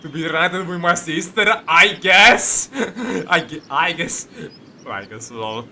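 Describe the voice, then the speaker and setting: A man hosting a video game playthrough, talking casually in a halting, rambling, jokey way. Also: fairly high pitch, bright, forceful tone, slight background noise. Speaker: gaming video host. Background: game music.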